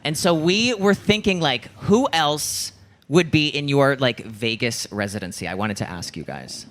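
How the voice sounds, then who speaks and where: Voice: medium pitch, lively intonation, measured pace, a bit bright, slight background noise. Casual podcast host, informal storyteller. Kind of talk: casual live podcast chat about figure skating. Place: live show in front of an audience.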